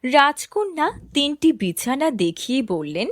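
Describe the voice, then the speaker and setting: A woman's voice: high voice, very animated, unhurried, dry recording, clean studio sound. A woman narrating a scripted fairy tale for a cartoon story, as a storyteller.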